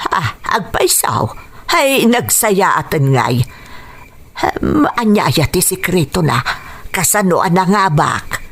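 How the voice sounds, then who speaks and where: A woman playing a caring, curious mother in a radio drama, speaking in a gentle, unhurried way. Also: on the low side, very animated, creaky, full character voice. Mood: fond, curious.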